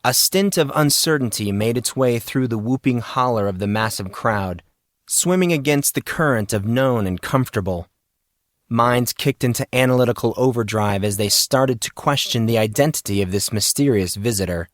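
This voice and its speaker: A man's voice: medium pitch, normal speed, no echo. Man narrating a story, dry recording. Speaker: audiobook narrator. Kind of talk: dramatic scripted story narration.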